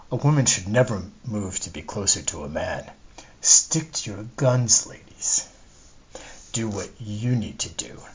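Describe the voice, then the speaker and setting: A man's voice: on the low side, breathy edge. A man reading a literary monologue as a wistful, discontented middle-aged wife, confiding and opinionated, in his own everyday voice. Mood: bitter regret. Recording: dull lo-fi recording.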